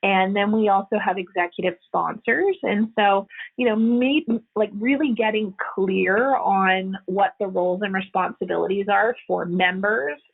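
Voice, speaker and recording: Woman exec guest, husky and gravelly, earnest and halting, heard over a tinny phone line. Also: mid-range voice. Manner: earnest, explanatory, frequent hesitations. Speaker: HR executive, podcast guest. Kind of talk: conversational interview answers.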